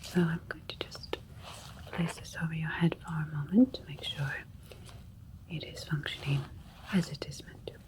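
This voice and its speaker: A woman playing a gentle, soothing alien doctor in an ASMR roleplay, speaking in a breathy whisper with a clinical manner. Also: low voice, normal speed, long pauses, natural voice, rough recording. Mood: calm, clinical.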